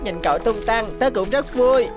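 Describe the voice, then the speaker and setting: A woman's voice: a little high, normal speed, over music, close to an everyday voice. A woman voicing a kind, humble young orphan boy in a cartoon, polite and earnest. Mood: tender, cheerful.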